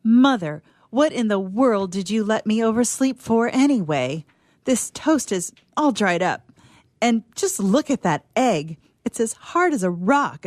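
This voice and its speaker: Petulant young woman, wildly animated as an actress plays her. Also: mid-range voice, no room sound. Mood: exasperated. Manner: exasperated, theatrical complaining. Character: petulant, self-absorbed young woman grumbling to her mother. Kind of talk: old-fashioned dramatic monologue for radio theatre.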